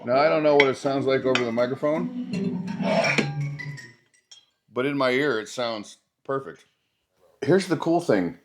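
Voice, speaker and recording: A man's voice: medium pitch, a little slow, long pauses, faint music behind. A man hosting a gear review vlog, chatting informally and directly to his viewers. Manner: informal, conversational, talks to viewers. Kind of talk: casual product review chat to camera.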